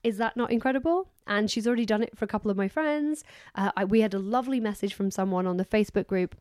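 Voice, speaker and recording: A woman's voice: medium pitch, fast, a little mellow, no room sound, clean studio sound. A woman co-hosting a podcast in casual, effusive, rambling chat while she is losing her voice. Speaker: podcast co-host.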